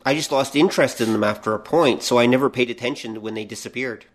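Reflective middle-aged man, mid-pitched voice. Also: fast talker. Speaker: podcast co-host. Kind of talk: casual conversational questioning.

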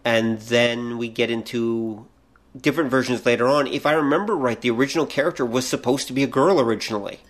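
A man's voice: mid-range voice, fast. A man co-hosting a podcast, explaining films in a casual, opinionated way. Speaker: podcast co-host. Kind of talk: casual film chat.

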